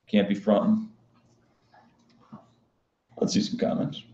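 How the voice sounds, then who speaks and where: A man chatting casually as a live-stream host, answering viewers in a monotone, flat and matter-of-fact manner. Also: slow. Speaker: professional poker player and vlogger hosting a live stream. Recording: dull, lo-fi sound in echoey room.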